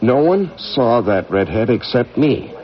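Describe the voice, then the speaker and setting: An actor playing a weary, haunted veteran astronaut commander with an American accent in a 1980s radio drama. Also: male voice, mid-range voice, very animated, measured pace. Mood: troubled, confiding. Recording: muffled old radio broadcast, music behind.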